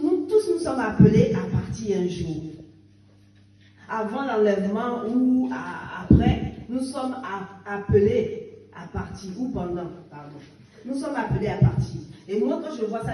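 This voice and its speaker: A woman's voice: fairly high pitch, lively intonation, a little slow, dark tone, slight background noise. Fervent woman in her fifties, echoey church. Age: 50s. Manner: fervent, exhorting, with rising emphatic bursts. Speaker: church member giving testimony. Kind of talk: testimony and exhortation in church. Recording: echoey church hall picked up from a distance.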